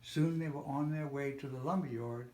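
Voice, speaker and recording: An older man reading a children's storybook aloud, a little haltingly, with small slips and self-corrections. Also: medium pitch, darkish tone. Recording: roomy, echoey home recording with faint noise.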